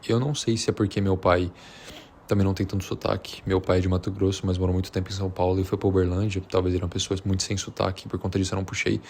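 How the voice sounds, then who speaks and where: A man's voice: deep voice, little pitch movement, fast talker, darkish tone, slight background noise. A man from Minas Gerais with a Brazilian accent, chatting casually and without a script, in a slangy, hesitant, rambling way. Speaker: vlogger. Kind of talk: unscripted casual chat to camera.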